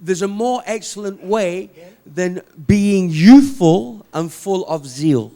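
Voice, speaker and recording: A man, a native English speaker, preaching in short phrases with pauses for an interpreter and repeating them for emphasis. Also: high-pitched, dark tone. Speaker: visiting preacher.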